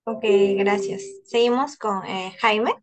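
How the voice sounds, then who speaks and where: A woman's voice: medium pitch, slow pace. Young Peruvian woman moderating a group call. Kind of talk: structured group discussion, introducing questions. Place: indoors on a group video call. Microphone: video call.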